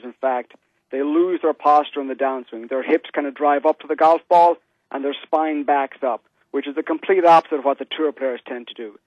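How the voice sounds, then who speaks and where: A man's voice: on the high side, no echo. A man with an Irish accent, an interview guest explaining things conversationally over a muffled telephone line. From Ireland. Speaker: interview guest, golf fitness entrepreneur. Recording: dull telephone line on radio.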